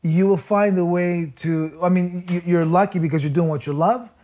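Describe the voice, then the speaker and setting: A man's voice: high voice, steady tone, moderate pace, darkish tone. A man from Canada, a musician guest, talking earnestly in a casual interview, rambling with many restarts. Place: remote, calling in from abroad.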